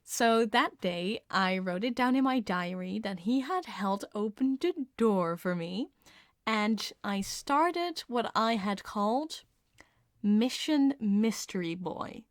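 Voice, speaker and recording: A woman's voice: mid-range voice, expressive, slow pace, no room sound, studio quality. A woman telling casual, confessional personal anecdotes in an ASMR storytime style, with a light giggly manner.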